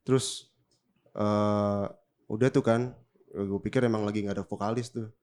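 A man's voice: fairly low pitch, steady tone, normal speed, a little mellow, clear, resonant tone. A man with a Jakarta Indonesian accent and a slightly nasal, stuffed-up voice, co-hosting a podcast in slangy, joking, casual chat. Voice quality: congested, slightly nasal from a recent cold. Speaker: podcast co-host.

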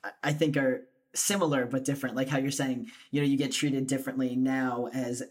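A man's voice: medium pitch, flat delivery, brisk. A man in a casual conversation, responding with agreeable, loosely phrased remarks. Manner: soft, agreeable, filler-heavy.

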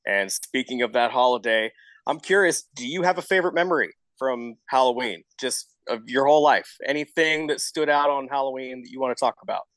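A man's voice: medium pitch, a little fast, a bit bright, dry recording, poor audio. Man, mid-range voice, show host, informal, encouraging, conversational, dry close sound. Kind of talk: casual interview.

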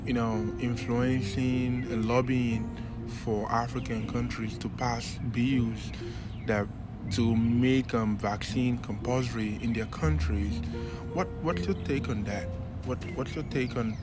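A man interviewing a guest, talking with many pauses, fillers and restarts. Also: medium pitch, fairly even intonation, over loud music. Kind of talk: conversational interview.

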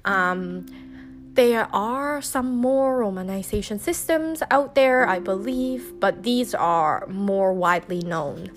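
A woman's voice: mid-range voice, slow pace. A woman hosting a podcast, explaining things in a casual, chatty way with many fillers and restarts. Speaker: podcast host.

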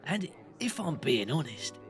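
A man's voice: on the high side, very animated, a little slow, vocal fry, soft background music, heavily acted. A man playing a kindly one-eyed otter stranger at a bar in an audio drama. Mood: tender.

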